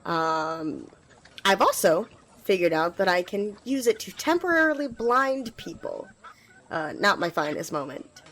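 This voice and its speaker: A woman's voice: medium pitch, very animated, unhurried, fairly bright tone. A woman playing an eager, apologetic young squire in an ASMR fantasy roleplay, rambling and stammering as she speaks to the listener. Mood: sheepish, flustered. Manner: rambling, hesitant, stammering, giggly. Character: eager, flustered young female magic-wielding squire, apologetic.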